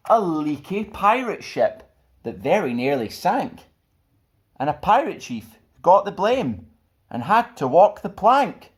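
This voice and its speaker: A man tells a children's story with a very animated voice. His pitch moves around freely and he reads with theatrical expression, like the host of a storytime show. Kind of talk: expressive children's picture book reading.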